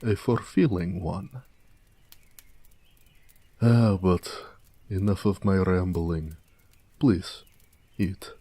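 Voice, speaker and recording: A man playing a proud, gentle orc blacksmith in an intimate, affectionate audio roleplay, speaking tenderly and modestly. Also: drawn-out words, pauses often, no room sound, exaggerated voice. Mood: warm, reflective. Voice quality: deep, mellow.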